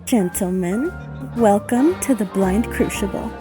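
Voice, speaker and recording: A woman's voice: medium pitch, animated, a little slow, lightly acted. A woman playing a courteous, discreet speakeasy waitress in a 1920s radio play. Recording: music playing behind the voice.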